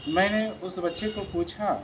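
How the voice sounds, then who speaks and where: A man's voice: high-pitched, fairly even intonation. Middle-aged principal guy telling a school story, earnest preachy tone, noisy recording. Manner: earnest, measured, sermon-like advice to parents. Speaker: school principal and channel host. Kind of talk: reflective storytelling monologue with a moral. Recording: noticeable background noise.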